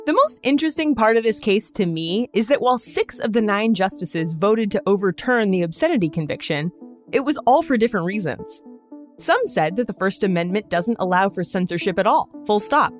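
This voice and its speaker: A woman's voice: mid-range voice, expressive, brisk, soft background music. A woman hosting a podcast, reading scripted narration in a conversational storytelling style with wry, joking asides. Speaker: podcast host.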